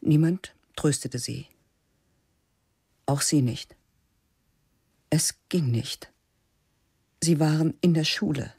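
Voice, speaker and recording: A woman reads a literary radio drama slowly and sparely, with many pauses, her voice husky and breathy. She often sinks to a near-whisper on close studio mic. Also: low voice, a little mellow, no room sound. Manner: slow, measured, spare delivery, often dropping to a whisper. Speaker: narrator. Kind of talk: scripted literary narration for a radio play.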